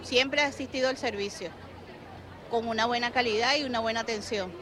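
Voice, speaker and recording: A woman's voice: a little high, fairly quick, sharp tone, some chatter behind. Matter-of-fact woman outside at a crowded event. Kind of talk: street interview.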